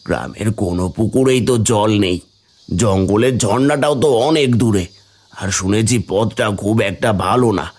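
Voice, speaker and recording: A man voicing a devoted young village son, earnest and pleading, in an animated fairy tale. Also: on the low side, unhurried. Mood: earnest, reassuring.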